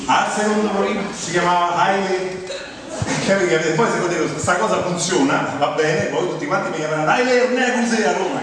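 A man from Rome with a Roman accent, delivering a comic autobiographical stage monologue in a conversational, exasperated manner. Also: on the high side. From Rome, Italy. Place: live stage performance in a theatre. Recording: echoey theatre hall, distant mic, noisy.

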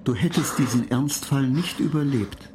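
An actor in a German radio play voicing a cool, superior computer mind, reciting in a detached, measured way. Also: male voice, medium pitch, background noise, natural voice. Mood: condescending. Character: cool, superior computer mind lecturing human space travellers. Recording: music behind the voice.

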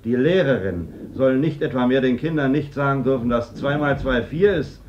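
A man's voice: medium pitch, a little fast, lightly acted. An actor playing a militant man in a radio drama, rhetorical and insistent, arguing with conviction. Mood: grave, insistent. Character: militant, insistent man urging armed resistance. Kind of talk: German stage-like radio drama acting. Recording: dull, noisy old radio drama recording.

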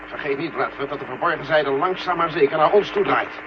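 An actor playing a gruff, exasperated general at mission control, blunt and commanding, in a 1970 Dutch radio drama. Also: male voice, on the high side, brisk, background noise, lightly acted. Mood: threatening. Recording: muffled, humming 1970 radio recording.

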